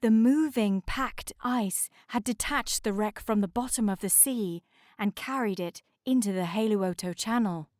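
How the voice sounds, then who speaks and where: Slow-paced woman reading a children's story. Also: medium pitch, a little mellow, no room sound, studio quality. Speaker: storybook reader. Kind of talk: scripted children's story narration, read aloud.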